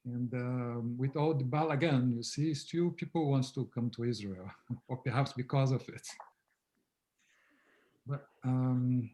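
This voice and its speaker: A man whose first language is Portuguese, speaking softly and reflectively on a video call as a guest founder of a therapy method. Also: mid-range voice, a little slow, poor audio. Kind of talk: casual conversation on a video call.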